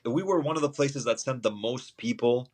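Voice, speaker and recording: A man's voice: mid-range voice, moderate pace, darkish tone. A man from Albania, a guest chatting casually on a podcast, easygoing and laughing now and then. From Albania, Lushnjë. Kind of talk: casual chat.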